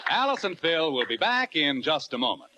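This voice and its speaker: A man's voice: a little high, very animated, moderate pace, over a noisy crowd, heavy background noise. A male announcer reading scripted lines in a 1940s radio style. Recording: muffled old radio broadcast with music.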